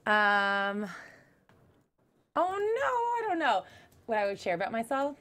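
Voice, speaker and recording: Woman, chatty recap co-host, pitch swings up and down a lot. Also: slow. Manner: chatty, animated, laughs often. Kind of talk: casual chat.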